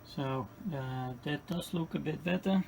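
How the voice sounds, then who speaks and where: A man who is a non-native English speaker narrates a repair casually, with heavy gravelly creak in his voice. He speaks slowly and haltingly, thinking aloud as he goes. The home-made recording has clearly audible noise behind him. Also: on the high side, darkish tone. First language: not English. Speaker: host of a radio restoration channel. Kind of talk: casual hands-on repair commentary.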